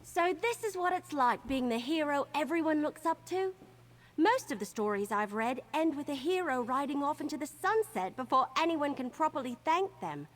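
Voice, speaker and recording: An actress playing an eager, earnest young adventurer in a video game, with a bubbly, youthful delivery. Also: female voice, high-pitched, animated, normal speed. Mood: earnest, wondering. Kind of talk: video game character dialogue.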